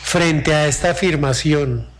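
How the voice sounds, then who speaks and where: Magistrate questioning a witness, faint noise. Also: male voice, fairly high pitch, measured pace. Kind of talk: courtroom questioning.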